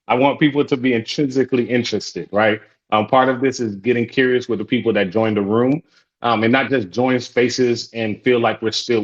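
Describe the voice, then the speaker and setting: A man's voice: medium pitch, lo-fi sound. A man whose first language is Haitian Creole, facilitating an online support group in casual, upbeat chat that repeats its welcomes. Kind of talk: hosting an online group call.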